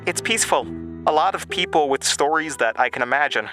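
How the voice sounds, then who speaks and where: A man's voice: fairly high pitch, fairly quick, pitched above the performer's own voice, lightly acted. A man voicing a curious, lonely ten-year-old boy with earnest questions in an audio drama. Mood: shy, dreamy. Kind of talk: quoted dialogue in audio drama. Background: music.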